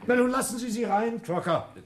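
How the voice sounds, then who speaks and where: An actor playing a gruff, sarcastic, domineering male police inspector in a German radio crime drama, speaking curtly. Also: extremely high pitch, brisk, slight background noise. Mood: probing, sardonic. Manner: curt, commanding, sardonic.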